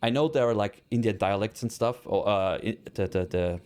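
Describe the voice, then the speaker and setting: Low-voiced Austrian man chatting while gaming. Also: moderate pace, a little mellow, clean tone. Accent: Austrian German. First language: German. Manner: chatty, hesitant, many fillers and restarts, answering chat. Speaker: let's play streamer. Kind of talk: casual live gameplay chat.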